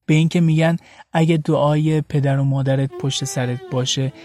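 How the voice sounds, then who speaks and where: A man's voice: medium pitch, dark tone, no room sound. A man reading a scripted first-person story as a radio serial narrator. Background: background music.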